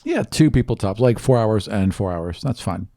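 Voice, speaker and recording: A man improvises as game master in a very animated, swooping voice. He is casual and playful, slipping into small acted bits, and the sound is close and dry. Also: fairly low pitch, moderate pace, creaky, no room sound.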